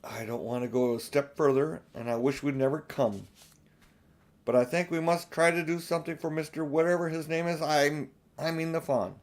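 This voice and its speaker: A man's voice: on the high side, steady tone, moderate pace, close to an everyday voice. A man voicing a cautious, reluctant older-sister schoolgirl in a storybook, worried and hesitant.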